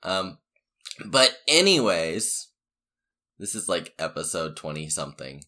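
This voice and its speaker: Slow-talking man with a scratchy morning throat. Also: low voice, very animated, bright, forceful tone. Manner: laid-back, joking, giggly. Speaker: podcast host. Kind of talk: casual podcast chat.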